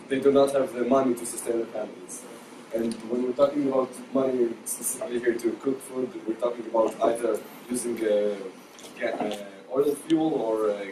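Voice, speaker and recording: A young man from Israel explains the science in a student presentation, hesitating often with ums and restarts. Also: medium pitch. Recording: echoey room, distant mic, noise.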